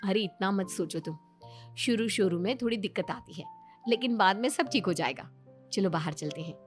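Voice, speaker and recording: A woman voicing a caring, reassuring young sister-in-law in a story, speaking gently. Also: mid-range voice, normal speed, soft background music, lightly acted.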